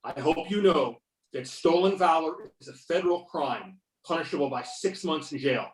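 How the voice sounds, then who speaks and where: A man's voice: on the high side, expressive, normal speed, close to an everyday voice. A man playing a sardonic, confident FBI agent in an amateur readers-theater performance, with pointed questioning. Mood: needling, stern. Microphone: videoconference or conference call. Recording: roomy echo, distant microphone.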